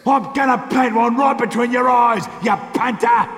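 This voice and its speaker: A man playing an aggressive trash-talking sniper in a video game parody, shouting threats. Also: very high-pitched, normal speed, vocal fry, voice pushed up, full character voice. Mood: menacing threat. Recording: echoey, very noisy crowd ambience.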